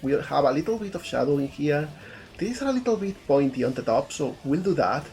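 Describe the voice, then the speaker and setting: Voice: mid-range voice, very animated, a little fast, a little mellow, soft background music. A speaker from Spain with an Andalusian accent and Spanish as a first language, chatting casually on a live stream while working and thinking aloud, often repeating words. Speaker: game developer streaming his art.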